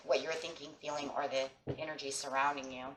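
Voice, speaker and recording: A woman with a hoarse voice from a sinus infection, giving a casual tarot reading and speaking encouragingly to viewers as friends. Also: rumbling low, little pitch movement, quick pace, reverberant, very noisy. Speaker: tarot reader. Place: table with tarot cloth, indoors.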